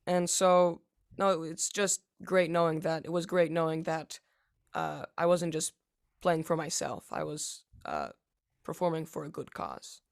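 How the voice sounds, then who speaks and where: A teenage boy answering interview questions about piano, halting, with many fillers and restarts. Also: a little high, lively intonation, creaky, clear, resonant tone. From United States. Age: teens. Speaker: young pianist interviewee.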